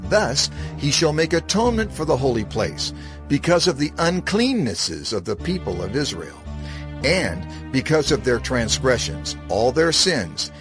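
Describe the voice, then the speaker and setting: A man narrating scripture from a script in a measured, formal manner. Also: mid-range voice, very animated. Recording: prominent music bed under narration. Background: music.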